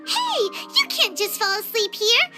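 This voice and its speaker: A woman voicing a chirpy, bossy tiny girl companion in a game, with a squeaky childlike voice who speaks of herself by name. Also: wildly swooping pitch, unhurried, bright, forceful tone, heavily acted. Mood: fussing. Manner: fussy, talks of herself by name. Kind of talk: game cutscene dub. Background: game music.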